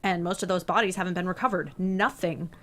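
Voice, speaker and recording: A woman's voice: on the low side, steady tone, brisk, fairly bright tone, natural voice. Woman acting a determined, stubborn searcher. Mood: urgent, insistent. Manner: insistent, stubborn. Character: determined, grieving woman searching for her missing sister. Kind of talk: screenplay table read.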